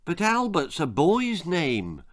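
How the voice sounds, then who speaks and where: A man's voice: a little high, huge pitch swings, takes it slowly, voice pushed up, exaggerated voice. A man voicing a timid, anxious middle-aged mother in a children's story, meek and plaintive. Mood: timid protest. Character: timid, anxious forty-year-old mother.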